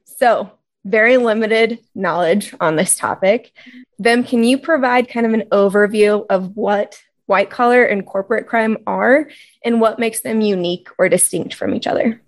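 A woman co-hosts a podcast in a chatty, relaxed way, voice at a middle pitch. She lays out the plan and asks questions loosely, with casual fillers along the way. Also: moderate pace. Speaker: podcast co-host.

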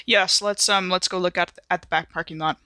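Bright-voiced wry woman player. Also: fairly low pitch, steady tone, a little fast, no room sound, studio quality. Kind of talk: casual tabletop game play, partly in character.

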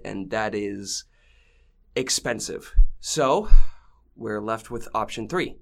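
A young man playing a calm, practical man in a haunted house, hesitant and measured, in a student audio drama. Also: lowish voice, gravelly. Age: young adult. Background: faint music.

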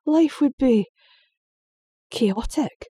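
A woman's voice: high voice, expressive, drawn-out words, warm tone, slightly rough, dry recording, clean studio sound, voice pushed up, heavily acted. A woman voicing a stern, weary middle-aged father, lecturing patiently but firmly.

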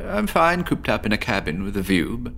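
A man's voice: on the low side, very animated, a little fast, gravelly, fairly bright tone, exaggerated voice. An actor playing a chatty, nervously jovial male patient with an apple obsession, telling his story theatrically in a horror audio drama. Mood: confiding. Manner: theatrical, rambling, with nervous chuckles.

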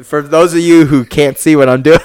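A man's voice: fairly high pitch, fairly even intonation, moderate pace, darkish tone, smooth. Laughing, jokey young guy. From Nevada. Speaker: podcast co-host. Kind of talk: casual joking chat.

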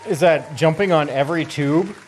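A man's voice: on the high side, normal speed, soft background music. A middle-aged man in a casual gaming chat with friends, telling things in a blunt, conversational way. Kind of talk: casual chat while playing video games with friends. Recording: group of voices talking behind.

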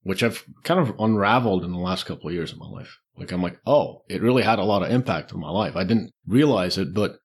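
A man in his forties with a fairly low, husky voice talks as a podcast guest. He tells his own story casually and informally, often voicing the thoughts he had at the time. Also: fast talker, few pauses. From Lebanon, Czech Republic and the UAE. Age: 40s.

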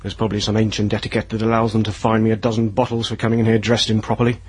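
A man's voice: lowish voice, completely flat delivery, racing, a little mellow, breathy edge, no room sound. A man playing a curt, weary and menacing aristocratic lord in an audio drama, with a commanding, clipped delivery.

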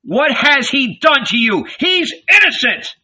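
Man acting a shrill, protesting disciple. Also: sing-song, measured pace, sharp tone, full character voice. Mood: indignant. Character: frightened, indignant male disciple, loyal but cowardly.

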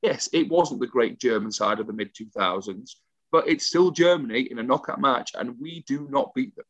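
A young English man co-hosting a podcast in casual chat, ranting with animated, emphatic energy. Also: medium pitch, normal speed, no room sound. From England. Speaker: podcast co-host. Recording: dull dry home mic.

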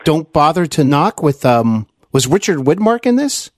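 A man's voice: on the high side, big pitch swings, moderate pace, dry recording, studio quality. A man hosting a conversational interview podcast, attentive and earnest.

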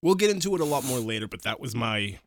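A man's voice: mid-range voice, big pitch swings, fast, no echo. A man co-hosting a podcast, giving blunt opinions in casual chat and repeating himself for emphasis. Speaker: podcast co-host.

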